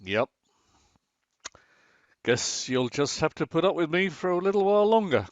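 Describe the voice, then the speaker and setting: A male actor as a grumbling yet affectionate husband in a domestic radio play. His delivery is wry and sarcastic, with very lively intonation. The sound is close and dry, a little dull in fidelity. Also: a little high, moderate pace, no echo. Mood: amused, affectionate. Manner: dry, sardonic, exasperated. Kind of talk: naturalistic radio play acting.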